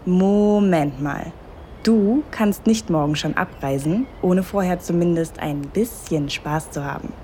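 Brief: female actor as a confident, flirtatious vacationer, mellow low-ish voice, faint noise under the audio drama. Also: unhurried, natural voice. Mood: flirty. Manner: teasing, playful, confident. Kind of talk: erotic audio drama acting.

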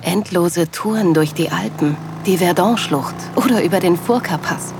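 A woman playing a loving, sensual middle-aged wife, narrating an intimate audio drama in a soft, dreamy manner. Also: on the low side, moderate pace, gravelly, over music. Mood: dreamy, blissful.

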